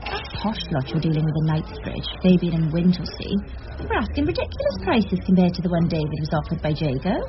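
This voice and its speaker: A forthright young lawyer, brisk and lively in pitch. She is a principled, outspoken woman. The radio drama recording is dull with faint noise. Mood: insistent.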